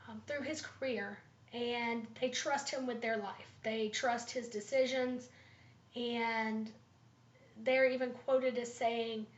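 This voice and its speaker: Woman student presenter, slightly raspy, pitched a bit high, pauses with ums; dull, roomy home recording. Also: fairly even intonation. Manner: thoughtful, with frequent ums and pauses. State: slightly raspy from pollen allergies. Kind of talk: class presentation, leadership analysis.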